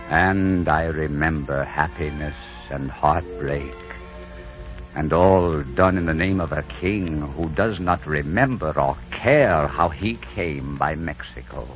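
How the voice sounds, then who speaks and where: An actor playing a weary, proud, lonely old Spanish conquistador in a 1940s radio drama, theatrical and wistful. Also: male voice, rumbling low. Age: older. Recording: muffled 1940s radio broadcast, music behind.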